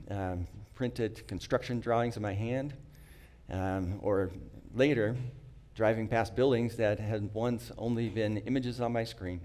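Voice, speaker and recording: A middle-aged man, not a native English speaker, telling a prepared personal story in an earnest, reflective way, with small restarts and frequent throat-clearing. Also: on the low side, warm tone.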